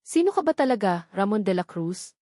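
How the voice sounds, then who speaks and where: A woman's voice: medium pitch, sing-song, moderate pace, mellow, no room sound, studio quality. Narrator as a wary, probing working woman. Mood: suspicious, insistent. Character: wary, curious young working woman in a love story.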